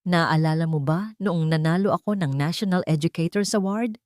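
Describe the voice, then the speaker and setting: A woman voicing a proud, hurt wife in a romance story, earnest and measured. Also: on the low side, a little mellow, no echo, clean studio sound, close to an everyday voice. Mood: bitter, hurt.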